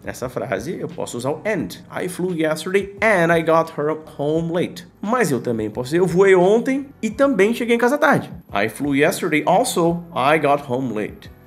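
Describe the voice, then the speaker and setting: A man's voice: on the high side, sing-song, normal speed, hardly any pauses. A Brazilian man with a Brazilian Portuguese accent teaching English to pilots in a casual, explanatory way. He asks and answers his own questions and uses slang directly.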